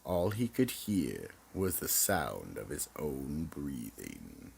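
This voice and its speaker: A man with an extremely low, creaky voice narrates a mystery drama for radio. He speaks slowly and dramatically, with gravel in the tone and lively rises and falls. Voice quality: gravelly, raspy.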